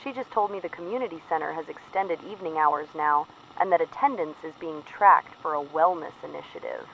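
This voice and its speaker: A woman's voice: low-pitched, fairly quick, creaky. A woman playing a weary, fearful field operative in an audio drama, confiding a careful, plain-spoken secret report over the radio. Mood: guarded, uneasy. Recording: muffled noisy radio transmission.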